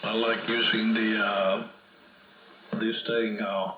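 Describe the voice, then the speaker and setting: Slow, halting man over muffled AM radio. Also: lowish voice, little pitch movement, background noise. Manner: halting, informal. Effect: two-way AM radio transmission. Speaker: amateur radio operator. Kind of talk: casual radio contact chat. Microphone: hand microphone. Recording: muffled, echoey AM radio reception.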